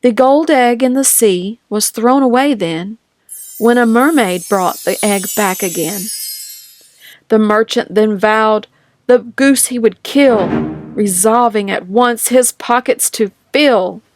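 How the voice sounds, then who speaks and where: A woman reading nursery rhymes aloud from a picture book in a measured, sing-song manner. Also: a little high, dry recording, faint music behind. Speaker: storybook reader.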